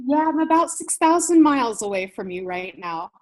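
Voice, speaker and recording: An American woman speaks as a podcast guest, a gardening blogger. Her voice sits a bit high, and she talks in an easy, chatty way with small laughs. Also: big pitch swings, moderate pace, a little mellow. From United States. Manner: chatty storyteller, light laughs. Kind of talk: casual interview chat.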